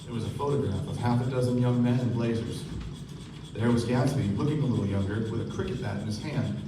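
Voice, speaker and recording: Actor as polite gentleman narrator, echoey distant stage. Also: mid-range voice, quick pace, close to an everyday voice. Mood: measured, reflective. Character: Nick, polite, observant young gentleman narrator. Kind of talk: stage radio play narration. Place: theater stage before an audience. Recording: echoey stage, distant mic, noisy room.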